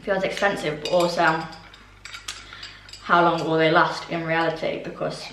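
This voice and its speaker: A young woman with a voice going hoarse, chatting casually through a home haul with lots of ums and asides. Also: low-pitched, flat delivery, measured pace, roomy sound, recorded from a distance, noisy background. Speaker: vlogger. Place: orangery at home, indoors.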